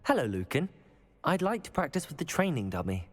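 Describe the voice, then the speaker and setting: A man's voice: on the high side, very animated, fairly quick, faint music behind. A man voicing a confident, polite student wizard in a video game, sounding self-assured.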